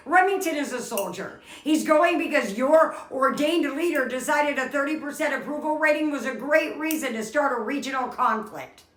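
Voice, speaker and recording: A woman's voice: high-pitched, fairly bright tone, echoey room, low-quality recording. A woman playing an exasperated office coworker with dry, withering, articulate sarcasm in a comedy skit.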